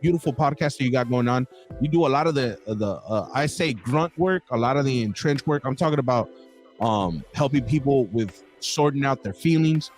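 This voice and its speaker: A man's voice: medium pitch, normal speed, faint music behind. A man hosting a casual podcast interview, rambling with many restarts and calling his guest bro. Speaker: podcast host.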